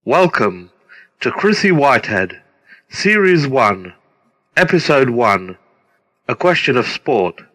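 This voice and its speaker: A middle-aged man reading a scripted introduction as a presenter, deliberate and formal. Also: medium pitch, sing-song, a bit bright. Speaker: presenter introducing his own radio play. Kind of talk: scripted narration. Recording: long hall-like reverb.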